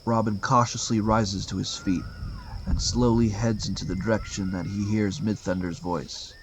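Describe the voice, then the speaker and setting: A man's voice: fairly low pitch, steady tone, normal speed, background noise. A man narrating a scripted audio drama as its storyteller. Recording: loud music behind the narration. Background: loud music.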